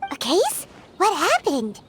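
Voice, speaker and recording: A woman voicing a tiny, childlike flying fairy girl in a video game, excitable, exclamatory and fond of snacks. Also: slow, noisy background, exaggerated voice. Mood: curious, eager. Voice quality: squeaky, childlike. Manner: refers to herself in third person, exclamatory.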